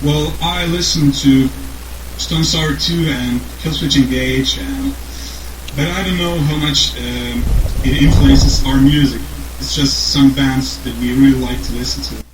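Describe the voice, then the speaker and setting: A man's voice: mid-range voice, measured pace. A young man from Slovenia with a Slovene accent, answering interview questions about his band in a hesitant way, with many fillers and restarts. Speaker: band songwriter. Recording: echoey room with noise.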